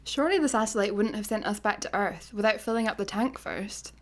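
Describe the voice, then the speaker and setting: An actress with a Scottish accent playing a blunt, exasperated satellite worker in a sci-fi comedy radio play. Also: female voice, mid-range voice, fairly quick, close to an everyday voice. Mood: incredulous. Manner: blunt, sardonic.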